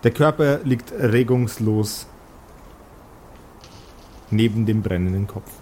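Slow, deliberate male game master. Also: on the low side, a little mellow, slight background noise. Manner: deliberate, pausing between phrases. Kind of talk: improvised tabletop game narration.

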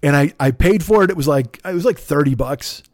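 A man's voice: a little high, fairly quick, no room sound, studio quality. Profane rambling man telling a story. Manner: rambling, animated, swears constantly, acts out quoted exchanges. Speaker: podcast host.

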